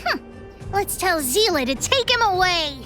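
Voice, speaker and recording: A woman's voice: very high-pitched, big pitch swings, measured pace, pushed and bright, faint music behind, exaggerated voice. A woman voicing a bossy, feisty little girl detective in a video game, childlike, demanding and dramatic. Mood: bossy, challenging. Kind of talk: English video game voice acting.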